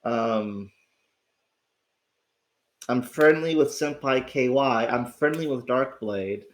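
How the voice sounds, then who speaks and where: A man with a raspy, sick voice, chatting casually as host of his livestream, rambling and swearing with lots of restarts. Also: mid-range voice, slow pace. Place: at home.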